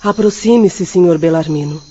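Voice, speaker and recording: A woman's voice: on the low side, moderate pace, warm tone, slightly rough. A woman acting in a radio drama as a compassionate, firm spiritist medium, speaking in an earnest, exhorting way. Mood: gentle. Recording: dull, band-limited radio drama audio.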